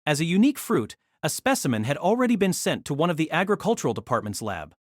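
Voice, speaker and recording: A woman's voice: sits low, very animated, fast, no room sound, clean studio sound. A woman reading scripted narration of a light novel as the narrator of an audio drama.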